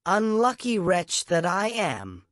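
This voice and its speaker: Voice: medium pitch, sing-song, slow, a bit bright, dry recording, clean studio sound, voice pushed up. A narrator voicing a brutish, self-pitying male carter in a storybook, grumbling and exclaiming. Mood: wailing despair. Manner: blustering, wailing exclamations.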